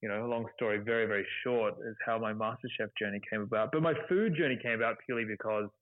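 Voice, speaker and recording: An Australian man talking casually and easily, with a lowish voice. His voice comes through a thin, phone-like line that is dry and close. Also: fast, no room sound. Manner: relaxed, chatty, laughs easily. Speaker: guest, television cooking contestant. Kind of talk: casual conversation.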